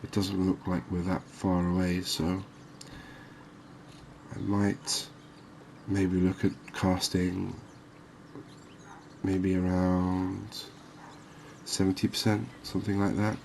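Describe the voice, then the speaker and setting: A man with a deep voice murmurs ASMR commentary on a game. He takes his time, with long slow phrasing and little melodic movement. Some background noise and ambience sits behind him. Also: monotone, vocal fry, darkish tone. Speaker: host of a gaming series.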